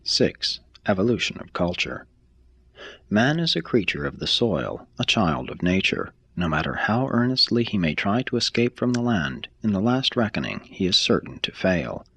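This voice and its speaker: A man reading a scripted text aloud as an audiobook narrator, with a measured, formal delivery. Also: lowish voice, sing-song, creaky, fairly bright tone, breathy edge. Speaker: audiobook reader.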